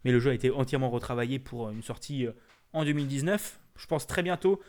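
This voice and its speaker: A man's voice: mid-range voice, fast talker, a little mellow. A man co-hosting a casual video game podcast, joking along in crude slang. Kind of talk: casual gaming chat.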